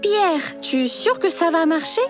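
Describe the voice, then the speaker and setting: Cartoon girl: caring, thoughtful, high animated voice, loud music beneath. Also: a little slow. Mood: concerned. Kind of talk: animated children's cartoon dub.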